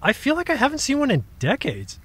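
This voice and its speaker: A husky, high male creature voice acted by a man, swooping theatrically as it explains. It is ancient, knowing and drily sceptical, a storyteller from an audio drama. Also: wildly swooping pitch, fast, a bit bright, exaggerated voice. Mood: knowing, explanatory.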